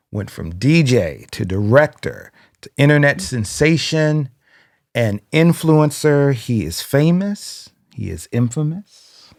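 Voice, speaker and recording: A man with a fairly low voice introduces the guest as co-host. He speaks slowly and deliberately, and the sound is dry and close. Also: a little mellow, no room sound. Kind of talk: announcing the guest.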